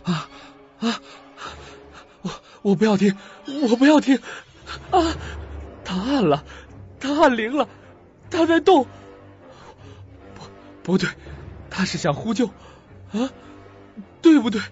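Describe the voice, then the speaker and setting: A haunted man on the edge of madness in a suspense radio drama. His fairly high voice lurches up and down as he talks agitatedly to himself, spiteful and afraid. The recording is slightly dull, with music underneath. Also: big pitch swings, slow pace, pauses often, darkish tone, exaggerated voice. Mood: panicked, frantic. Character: terrified sleepless man unraveling into madness, spiteful.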